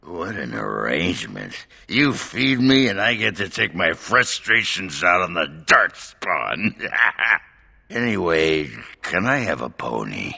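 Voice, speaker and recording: Boorish drunken dwarf warrior for a fantasy game: very gravelly, low, rowdy and slurred. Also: male voice, big pitch swings, slow, sharp tone, heavily acted. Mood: rowdy, amused. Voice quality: gravelly, raspy. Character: crude, boisterous, drunken male dwarf warrior. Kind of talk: video game voice acting.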